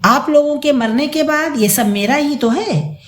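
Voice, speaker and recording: A woman's voice: sits high, unhurried, pitched above the performer's own voice. A woman voicing a shameless, entitled grown son with a brazen, irritated manner in an audio story. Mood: sarcastic. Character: shameless, entitled adult son demanding his inheritance. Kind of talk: dramatised audio story reading. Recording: roomy echo.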